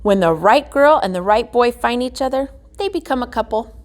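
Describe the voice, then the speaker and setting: A woman reading a children's picture book aloud as a guest reader, phrasing it deliberately with emphasis. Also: a little high, lively intonation, slight background noise. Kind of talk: children's storybook read-aloud.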